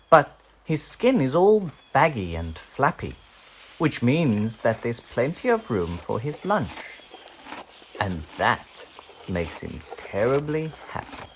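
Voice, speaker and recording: A man reading a children's picture book aloud in an animated, theatrical way, drawing out key words. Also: mid-range voice, breathy edge.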